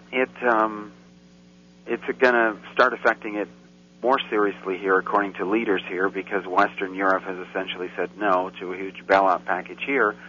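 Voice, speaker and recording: A man's voice: low-pitched, pushed and bright. A man talking as a guest on a radio show, rambling and getting indignant, on a dull, faintly hissy recording. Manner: rambling, grows heated and indignant. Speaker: guest commentator. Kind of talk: casual radio chat. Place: Central Europe.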